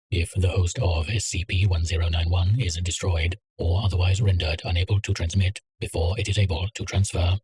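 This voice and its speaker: A man reading a containment document aloud in a measured, clinical way. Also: low-pitched, a little mellow, slight background noise. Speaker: narrator. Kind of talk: scripted reading of a containment file.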